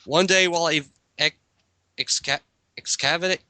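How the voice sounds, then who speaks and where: Man, slightly high pitch, bright tone, dry close sound, mock dramatic reading, casual banter, stumbles on long words. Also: steady tone, unhurried, no room sound. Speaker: reader in a group dramatic reading.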